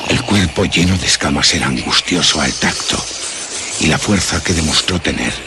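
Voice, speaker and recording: A man's voice: very deep voice, very noisy. A man playing a haunted narrator who solemnly recalls a monstrous attack in a radio horror drama. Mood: dread, horror. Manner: ornate, solemn, deliberate storytelling.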